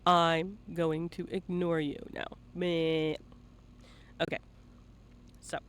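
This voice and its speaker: Higher-pitched man in playful banter, noisy background. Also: flat delivery, takes it slowly, fairly bright tone. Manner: playful teasing, profane banter. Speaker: interviewer and livestream host. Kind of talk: casual live-stream chat.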